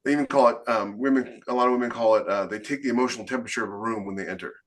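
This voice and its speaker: Male podcast host, medium pitch, conversational and emphatic, explains by voicing hypothetical remarks. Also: rapid-fire. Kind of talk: casual interview chat.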